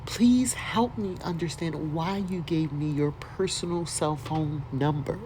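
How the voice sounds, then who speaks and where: A woman's voice: low-pitched, unhurried, warm tone, a little hiss or hum. An American woman doing a dramatic read-aloud with casual, mocking asides and exclamations, in a theatrical manner. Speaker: host doing a dramatic reading.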